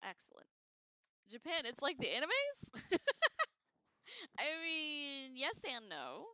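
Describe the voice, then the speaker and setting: A woman hosting a casual livestream read-along, expressive and informal, reading aloud and adding chatty, slangy asides. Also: sits high, slow pace, dry recording, lo-fi sound. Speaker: livestream host reading a book aloud with commentary.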